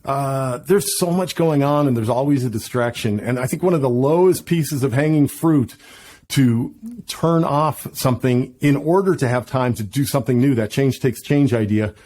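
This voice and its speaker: A man's voice: mid-range voice, moderate pace, a little mellow. A middle-aged man as a podcast guest, chatting casually with enthusiasm and many restarts. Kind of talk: casual interview chat.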